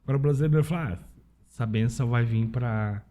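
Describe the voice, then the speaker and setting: A Brazilian man with a husky voice talking casually as a podcast guest, informal and anecdotal, often checking in with tag questions. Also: mid-range voice, quick pace, warm tone. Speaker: podcast guest, Italian citizenship specialist. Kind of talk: casual conversational interview.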